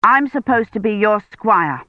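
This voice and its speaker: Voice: medium pitch, lively intonation, a little slow, no room sound, dull audio, pitched above the performer's own voice, lightly acted. A narrator voicing an eager, stubborn young boy who pleads and argues. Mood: insistent, indignant.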